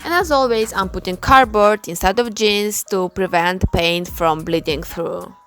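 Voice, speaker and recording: A woman from Ukraine, a clothing customization creator, speaking slowly in a halting and emotional way in a personal vlog voice-over. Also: medium pitch, a bit bright, soft background music.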